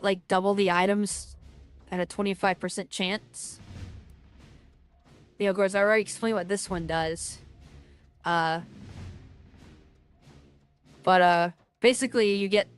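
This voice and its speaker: A woman's voice: medium pitch, clear, resonant tone. Rambling woman playing a game. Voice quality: loud voice. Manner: rambling, lots of fillers and restarts. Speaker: gaming streamer. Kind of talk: casual game commentary.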